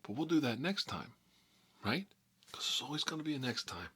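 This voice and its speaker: A man's voice: medium pitch, animated, brisk, sharp tone, slightly rough. A man playing a nostalgic, folksy mob boss, telling rambling stories in a casual ASMR style. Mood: friendly. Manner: rambling, folksy, frequent "you know". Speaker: host of a character story series.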